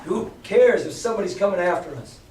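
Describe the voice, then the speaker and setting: A man's voice: high-pitched, brisk, darkish tone, a little hiss or hum, voice pushed up, natural voice. Brief: blustering one-legged pirate captain, read by a man, raised voice, distant mic. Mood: defiant. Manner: blustering, rough seaman's talk. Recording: echoey room, far from mic.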